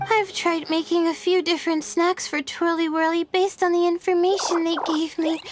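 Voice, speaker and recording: A sleepy, high-voiced young student. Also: female voice. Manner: soft, drowsy, earnest. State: tired, short of breath. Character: sleepy young female student, earnest and worn out. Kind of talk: video game voice acting.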